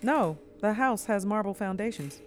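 A woman's voice: medium pitch, expressive, moderate pace, faint music behind, lightly acted. A woman playing a defensive, sardonic young working woman in a naturalistic audio drama, speaking in a casual, rambling way. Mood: nervous, sardonic.

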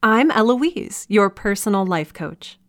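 Woman as virtual life coach, medium pitch, dry studio sound, polished, upbeat, affirming, automated greeting. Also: animated, unhurried, no room sound, lightly acted. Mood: brightly affirming. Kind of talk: audio drama automated greeting.

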